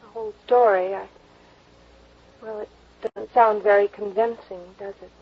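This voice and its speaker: An actress playing a dazed, frightened young woman in a 1950s radio drama, halting and trailing off, on a dull old broadcast recording. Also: mid-range voice, fairly even intonation, very noisy, close to an everyday voice. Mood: dazed, shaken.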